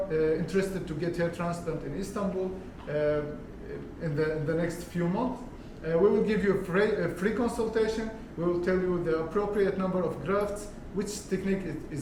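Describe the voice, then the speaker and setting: A man's voice: high voice, a little mellow, recorded from a distance, a little hiss or hum. Man with Arabic-tinged English in an echoey room. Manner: halting, many fillers and restarts. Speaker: hair transplant consultant. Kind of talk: casual product explainer.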